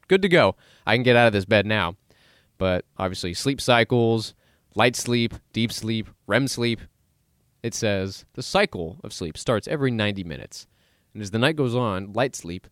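A man talks through a solo radio podcast from a studio, reading facts aloud and tossing in jokey asides. His voice sits at a middling pitch, and the studio mic gives a dry sound with no room echo. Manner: chatty, joking asides while reading facts. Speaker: podcast host. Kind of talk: casual solo radio talk.

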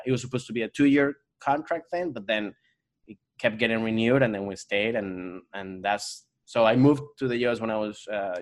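A man from Venezuela whose first language is Spanish, chatting as a podcast guest. His voice sits on the low side. He rambles, circles back and fills gaps with "uh" and "you know". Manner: rambling, many fillers and restarts. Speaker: guest comedian. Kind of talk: casual podcast chat.

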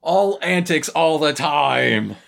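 Low-pitched, lively woman, wryly amused. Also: takes it slowly, creaky. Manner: wry, amused. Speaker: podcast co-host. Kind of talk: casual banter, wry commentary.

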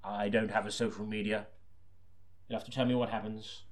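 A man's voice: lowish voice, level tone, fairly quick, background noise, natural voice. A man playing an exasperated audiobook reader, chatting casually and doubtfully between takes. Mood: weary, dismayed.

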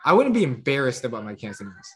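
Man, casual podcast chat, animated, frequent laughter, repeats words. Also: mid-range voice, quick pace, slight background noise. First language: English.

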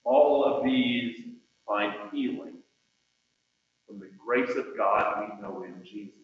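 A man delivering a sermon in measured, emphatic phrases with deliberate pauses, reading out scripture and quotations as he goes. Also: fairly low pitch. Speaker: preacher. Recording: muffled, very echoey hall with long reverb.

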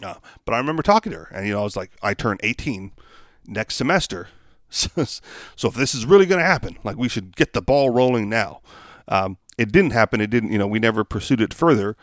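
Low-voiced man, dry close mic. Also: expressive, no echo. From Hawaii. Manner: reads haltingly with many uhs, then rambles with fillers. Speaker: podcast host. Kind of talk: reading news aloud then casual commentary.

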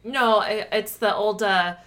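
A woman's voice: medium pitch, a little slow, a bit bright. A woman co-hosting a podcast in casual, chatty conversation, laughing often and rambling a little. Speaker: podcast co-host.

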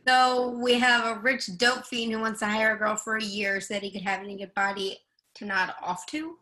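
A woman's voice: medium pitch, flat delivery, moderate pace, bright, forceful tone, lightly acted, rough recording. A woman playing a wry, bawdy young would-be model in a play reading, with a teasing way of talking. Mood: cheeky.

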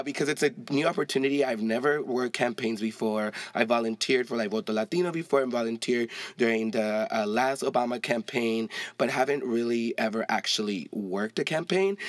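A young man hosting his own podcast in casual, excitable chat, swearing freely. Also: medium pitch, no echo. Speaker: podcast host.

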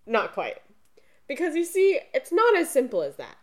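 A woman co-hosting a podcast, reading scripted explanations in a lively, explanatory way. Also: high-pitched, moderate pace. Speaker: podcast co-host.

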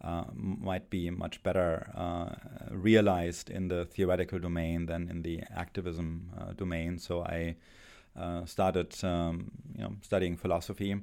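A middle-aged man with a German accent, a philosophy professor speaking as a guest, halting and thoughtful with many pauses and restarts. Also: low voice, level tone, gravelly, darkish tone. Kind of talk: thoughtful academic conversation.

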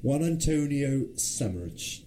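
A man's voice: medium pitch, very animated, measured pace, noisy background. A man co-hosting a casual radio chat, telling quiz stories with lots of hesitations and restarts. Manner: hesitant, many fillers and restarts. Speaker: co-host.

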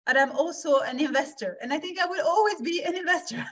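Female podcast guest, forties, from Switzerland. Slightly high voice, energetic conversational storytelling. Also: quick pace. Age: 40s. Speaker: podcast guest, founder and investor.

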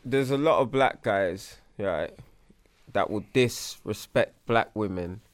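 Low-voiced man explaining earnestly. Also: takes it slowly, dry recording. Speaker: podcast co-host. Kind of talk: casual banter among friends.

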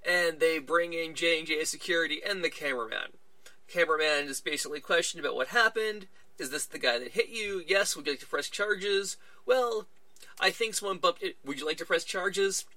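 Casual guy doing a wrestling recap, bright voice, dead dry room. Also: a little high, no echo. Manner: chatty, retelling events with little quoted voices. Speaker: podcast host.

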